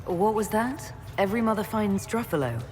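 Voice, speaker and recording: A woman's voice: medium pitch, expressive, moderate pace, a little mellow, lightly acted. An actress playing a serious, principled female warrior in a video game, direct and earnest in her speech. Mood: questioning. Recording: reverberant space, faint ambient noise.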